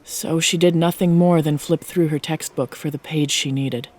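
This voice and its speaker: A woman's voice: low voice, steady tone, moderate pace, a little mellow. A woman giving a scripted narration of a fiction story as its narrator, close to the microphone.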